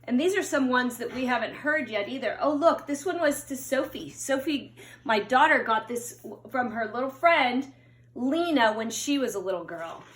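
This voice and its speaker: A middle-aged woman reading a picture book aloud to young children in an expressive singsong way, recorded in a room at home. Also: on the high side. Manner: slow, deliberate, singsong storytelling addressed to children. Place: indoors upstairs at home. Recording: roomy home recording with echo.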